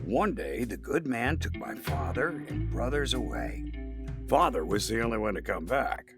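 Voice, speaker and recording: Man as creaky, reassuring father mouse. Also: on the low side, very animated, moderate pace, over music. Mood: earnest, assured. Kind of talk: audio drama acting.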